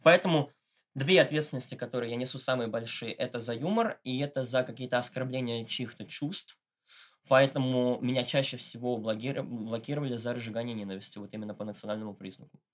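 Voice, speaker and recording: Man, podcast guest, casual interview talk, slangy, ironic, mid-range pitch. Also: fast. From Russia, Moscow. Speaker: interview guest, satirical blogger.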